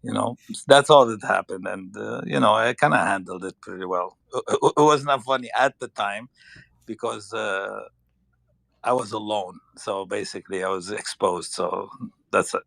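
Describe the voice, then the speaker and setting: A man with a Lebanese accent telling a story in casual talk, halting and searching for words. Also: lowish voice, gravelly, a bit bright, dry recording. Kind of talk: casual anecdote on a panel.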